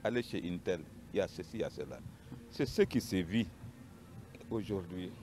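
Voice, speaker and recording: A man's voice: low-pitched, animated, a little fast, darkish tone, slight background noise. A man with a Malian accent giving an unscripted account as a panel guest, emphatic and repeating his phrases.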